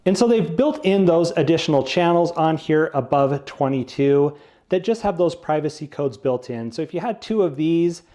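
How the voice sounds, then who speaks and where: Man, slightly high voice, soft mellow tone, casual product reviewer, plain-spoken explanations.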